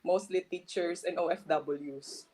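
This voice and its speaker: A woman's voice: fairly low pitch, fairly even intonation, measured pace, dark tone, rough recording. Earnest Filipino woman, laughing lightly. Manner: earnest, laughs between phrases. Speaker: guest, new bar passer. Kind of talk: interview answers.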